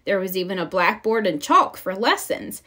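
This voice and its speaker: A woman narrating a children's story in an expressive read-aloud style. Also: medium pitch, normal speed. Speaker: storybook reader.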